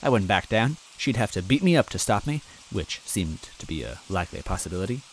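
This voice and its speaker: A man's voice: fairly low pitch, sing-song, a little fast, a little hiss or hum, low-quality recording. A man reading a fan story aloud in first person, earnest and timid in tone. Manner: earnest and timid, self-deprecating. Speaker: story reader.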